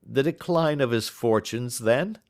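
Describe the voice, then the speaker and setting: Audiobook sidekick read by a man: puzzled, courteous gentleman, modest and loyal, expressive intonation, mid-range voice. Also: mid-range voice, unhurried. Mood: puzzled, polite. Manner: polite, questioning. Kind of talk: audiobook character dialogue.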